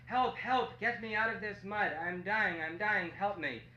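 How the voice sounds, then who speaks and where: A man's voice: high voice, moderate pace, bright, forceful tone, voice pushed up. A man voicing a wily monkey in an oral folk tale, begging urgently and repeating himself. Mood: desperate. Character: wily, pleading monkey trapped and desperate. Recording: muffled, noisy, echoey room recording.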